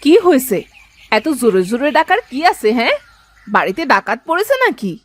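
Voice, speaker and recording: Sharp-tongued cartoon village wife, high and swooping, as a woman voices her. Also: sing-song, brisk. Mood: suspicious. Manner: suspicious, scolding questions. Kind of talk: Bengali cartoon voice acting.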